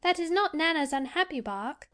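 Woman as a high-voiced, earnest young girl. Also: lively intonation, normal speed, a bit bright. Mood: knowing. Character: earnest, sensible young girl, eldest child. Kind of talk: dramatized storybook reading.

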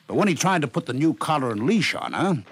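A man narrating a scripted story for 1950s radio, with measured phrasing and dramatic pauses. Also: fairly high pitch, sing-song. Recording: noisy 1950s radio broadcast recording.

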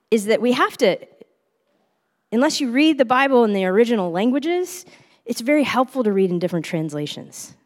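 A woman's voice: fairly low pitch, in a large hall. A young woman giving a reflective teaching talk at church, earnest and often restarting her phrases. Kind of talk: teaching sermon.

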